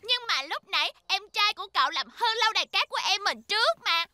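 Very high and girlish, with noticeable noise behind it, this is a helpful little rabbit big sister in a kids' cartoon. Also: expressive, fast talker. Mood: earnest. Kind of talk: children's cartoon dub.

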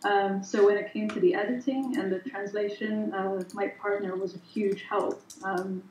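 A woman's voice: mid-range voice, steady tone, normal speed, darkish tone, slight background noise. A woman whose first language is Italian, chatting on a panel with many fillers and restarts over a headset. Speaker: illustrator panelist. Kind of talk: casual panel chat. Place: home studio room. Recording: echoey room, dull video call audio.

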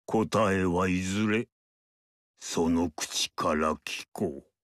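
A man voicing an aloof male sage mystic in a video game, speaking in a measured, lofty, archaic way. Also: sits low, no echo, clean studio sound. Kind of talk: game character voice lines.

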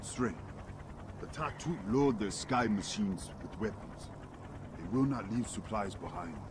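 A proud, scornful alien warrior in a video game. His pitch jumps around widely as he speaks in curt, formal phrases over clear background noise. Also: animated, a little slow. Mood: hostile, curt.

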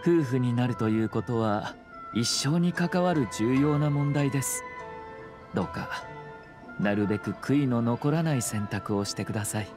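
A man's voice: mid-range voice, music in the background, lightly acted. Man playing a composed young nobleman, anime game. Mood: earnest, reassuring. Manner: composed, courteous, measured. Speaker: government commissioner. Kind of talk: anime game voice acting, formal polite dialogue.

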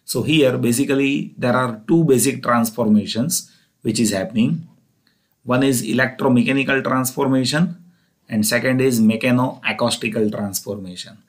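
Male lecturer, Indian accent, mid-pitched voice, methodical and didactic explainer delivery. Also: normal speed. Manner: didactic, repetitive step-by-step phrasing, often opening with "so". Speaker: engineering lecturer. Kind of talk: explanatory narrated lecture over slides.

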